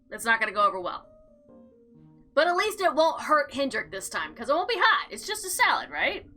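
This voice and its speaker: A woman plays through a visual novel, reading it aloud and breaking off for casual, playful comments. Her voice is high and bright, swinging widely in pitch as she goes. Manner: expressive, playful asides. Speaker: gaming video host.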